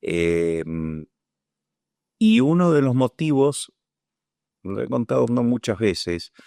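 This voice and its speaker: A man in his sixties with an Argentine accent, giving a measured, reflective testimony in an interview and sometimes pausing to find his words. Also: mid-range voice, very animated, gravelly, warm tone, no room sound, clean studio sound. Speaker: Falklands War veteran interviewee.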